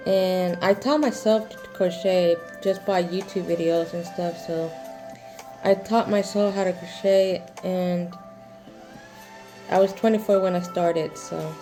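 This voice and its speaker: Young woman in her twenties, mellow voice, halting casual vlog talk, faint music behind. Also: lowish voice, fairly even intonation, poor audio. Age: 20s. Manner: rambling, halting, many fillers and restarts. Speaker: crochet vlogger.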